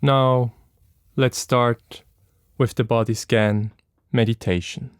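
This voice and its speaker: A man leading a guided meditation as an instructor, speaking calmly and gently with long, unhurried pauses. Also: medium pitch, smooth, dry recording, clean studio sound.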